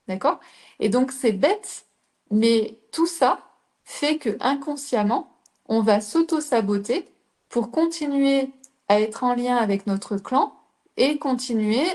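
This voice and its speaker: A middle-aged woman with a soft, mellow voice. She coaches a live audience, teaching as she talks and stopping often to ask her listeners questions. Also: medium pitch. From southern France. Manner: explains with rhetorical questions to the audience.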